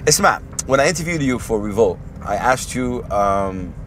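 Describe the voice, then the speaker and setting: A man's voice: mid-range voice, lively intonation, normal speed, fairly bright tone, a little hiss or hum. A man interviewing a guest in a friendly, rambling way, slipping Arabic words into his questions. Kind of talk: casual interview talk.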